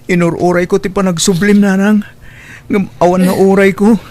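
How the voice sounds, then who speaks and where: Man acting an earnest, very high-voiced young son. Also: flat delivery, normal speed, a little mellow, close to an everyday voice. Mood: emotional. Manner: earnest, pleading. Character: devoted earnest young son searching for his mother. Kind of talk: radio drama acting.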